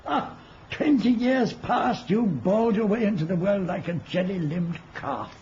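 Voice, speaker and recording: An ancient wizard speaks in a high-pitched voice with grand, poetic, archaic phrasing. He is a wistful mentor in an old radio play. The sound is dull, with some background noise. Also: unhurried, a little mellow.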